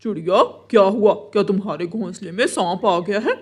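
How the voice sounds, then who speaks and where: A man voicing a stingy, dismissive crow neighbour with a husky voice in a children's cartoon. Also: sits high, fairly quick, darkish tone.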